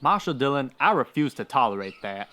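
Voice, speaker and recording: Western radio villain male actor: mid-pitched, greedy land baron, haughty, clipped contempt and cold self-importance. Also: very animated, fairly quick, bright, forceful tone, slight background noise. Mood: indignant. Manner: pompous, cold, indignant. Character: greedy, haughty Old West land grabber. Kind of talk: old-time radio western villain acting.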